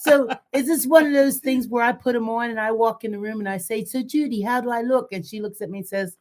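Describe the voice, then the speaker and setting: An older woman co-hosting a casual live chat, telling animated stories and laughing often. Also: a little high, fast. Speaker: livestream co-host.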